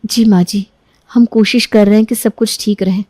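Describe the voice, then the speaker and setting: A woman's voice: medium pitch, steady tone, moderate pace, mellow, no room sound, close to an everyday voice. A woman voicing a sensible, dutiful young bride who speaks politely and respectfully.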